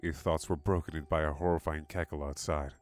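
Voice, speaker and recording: A man's voice: a bass voice, fairly even intonation, brisk. A man giving a dramatic scripted narration of a horror story. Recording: music behind the voice.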